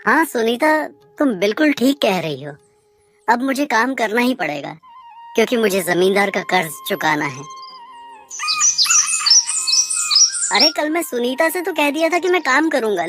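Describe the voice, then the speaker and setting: A woman's voice: fairly high pitch, animated, brisk, lightly acted. A woman voicing a lazy, foolish village husband who schemes and whines in a moral-story cartoon. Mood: reluctant. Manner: plaintive, thinking aloud. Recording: music playing behind the voice.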